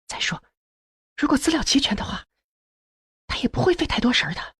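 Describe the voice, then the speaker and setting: A man voicing a young female military researcher in an audiobook, soft, breathy, hushed and quietly persuasive. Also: extremely high pitch, animated, a little fast, no room sound, clean studio sound, pitched above the performer's own voice. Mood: hushed persuasion. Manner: hushed, coaxing.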